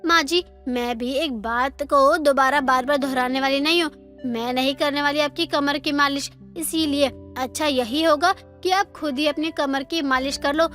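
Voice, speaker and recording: A woman's voice: high voice, steady tone, moderate pace, a bit bright. A woman voicing a lazy, insolent young daughter-in-law who argues back in a moral story cartoon. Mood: insolent refusal. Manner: defiant, argumentative. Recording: music under the voice.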